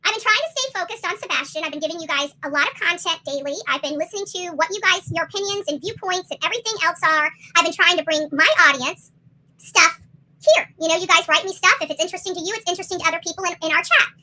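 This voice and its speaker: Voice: very high voice, quick pace, pushed and bright, low-quality recording. A livestream host on an impassioned rant, upset and confrontational, speaking emphatically with salty language and repeated phrases. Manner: salty, swearing. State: upset, agitated. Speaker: livestream host commenting on a missing-person case.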